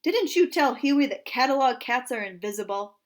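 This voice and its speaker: A woman voicing a calm, patient storybook father, measured and gently teasing as he explains things. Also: fairly high pitch, natural voice. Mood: questioning.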